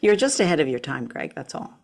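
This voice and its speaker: A woman's voice: sits low, sing-song, quick pace, gravelly, darkish tone. A woman hosting a radio show and podcast in casual, engaged conversation, agreeable and inquisitive. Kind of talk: casual chat about old radio drama.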